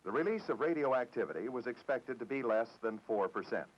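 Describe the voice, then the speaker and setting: An American man reading a formal, measured, matter-of-fact script in the style of a 1960s government documentary narrator. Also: mid-range voice, expressive. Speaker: training film narrator. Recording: dull, dated 1960s film soundtrack.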